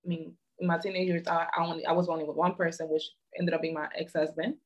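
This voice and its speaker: A woman in her mid-twenties with a somewhat low voice speaks frankly and earnestly. Her talk is casual and full of slang, in a relaxed conversation. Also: fast talker. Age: 20s. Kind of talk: casual chat.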